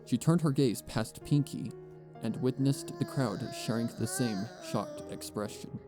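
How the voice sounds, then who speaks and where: A man narrating a scripted fan fiction story as an expressive storyteller. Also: medium pitch, unhurried, music in the background.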